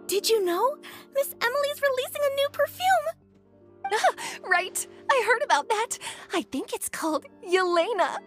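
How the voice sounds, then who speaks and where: An actress voicing an excited, gossipy townswoman in a video game, chirpy and girlish. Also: extremely high pitch, a little slow, soft background music, exaggerated voice. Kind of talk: scripted video game dialogue.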